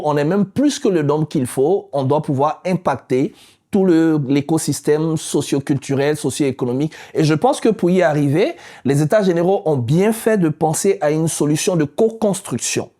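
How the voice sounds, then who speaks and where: A male interviewer with a Franco-Ontarian French accent poses long, formal questions. His voice sits a little high for a man, and he sometimes stumbles and restarts a phrase as he begins. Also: warm tone. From Ontario, Canada. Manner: measured, formal, with some stammered restarts. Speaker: journalist, interviewer.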